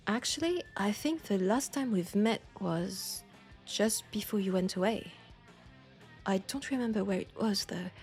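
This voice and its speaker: A woman with a French accent acting a nostalgic, playful old school friend in an intimate, casual audio roleplay, with an unhurried, teasing manner. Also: mid-range voice, faint music behind, close to an everyday voice. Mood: curious, friendly. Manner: unhurried, teasing, breaking into soft laughs.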